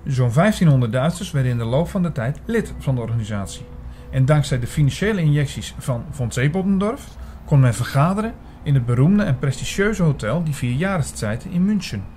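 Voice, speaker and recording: A man's voice: medium pitch, lively intonation, a little fast, a little mellow, a little hiss or hum, lightly acted. A man playing a learned, sceptical narrator in a Dutch audio drama. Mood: measured, explanatory. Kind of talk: first-person audio drama narration and dialogue.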